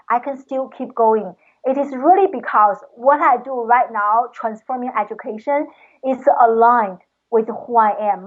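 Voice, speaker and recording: A woman, a guest talking earnestly and with passion. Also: a little high. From China. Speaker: guest, educator. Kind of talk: casual interview talk. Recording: tinny phone line.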